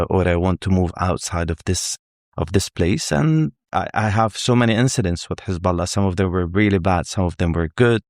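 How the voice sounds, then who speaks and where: A middle-aged man from Lebanon, speaking as a journalist guest in an interview, in a measured way and repeating words as he searches for them. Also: low voice, a little mellow, no echo, studio quality. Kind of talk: interview answers.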